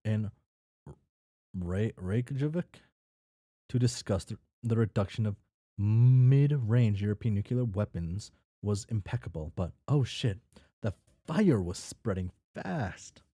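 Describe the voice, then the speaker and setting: A man with a low, soft-edged voice reads a story aloud in a casual way. He trips over words, repeats them and slips in his own sweary asides. The sound is dry, with very little room in it. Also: lively intonation, slow pace, no echo. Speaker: reader reacting to a fan fiction. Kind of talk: casual read-aloud with off-the-cuff commentary.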